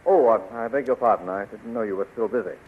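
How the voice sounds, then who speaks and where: A man's voice: on the low side, quick pace, breathy edge, phone-quality sound. A man playing a gallant, earnest young space hero with courteous manners in a 1930s radio serial. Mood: earnest, pleading. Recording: phone-thin, noisy 1930s radio recording.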